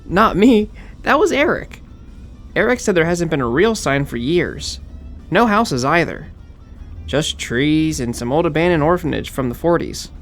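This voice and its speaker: Animated, nerdy young fraternity pledge played by a man. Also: a little high, measured pace, soft background music. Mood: defensive. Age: young adult. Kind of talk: audio horror drama acting.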